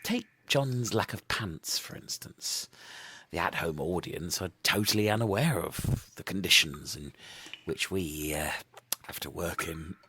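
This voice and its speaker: A man plays a gruff, matter-of-fact troupe member in a comedy audio play. His voice is extremely low and badly rasped, and his delivery is dry and deadpan. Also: measured pace, full character voice. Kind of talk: comic audio drama.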